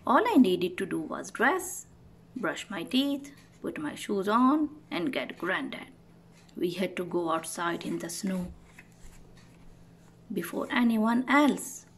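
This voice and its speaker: Female picture-book reader: lively, swooping intonation with a touch of fry, addressing young children. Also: mid-range voice, slow pace. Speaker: children's picture book read-aloud host. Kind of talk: storybook read-aloud.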